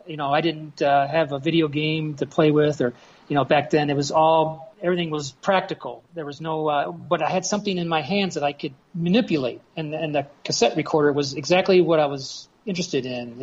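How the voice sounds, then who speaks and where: A man's voice: a little high, brisk, muffled recording. An American man in his fifties reminiscing casually as a podcast guest, heard over an internet call. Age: 50s.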